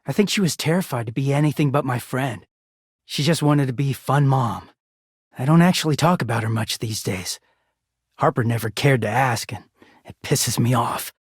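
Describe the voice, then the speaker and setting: Husky game character, living piece of clothing, hurt lover type, emotional n sweary, dry close sound. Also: male voice, mid-range voice, moderate pace, dry recording. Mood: wistful, hurt. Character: wounded, conflicted living garment, lover in a stormy relationship. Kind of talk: video game voice acting.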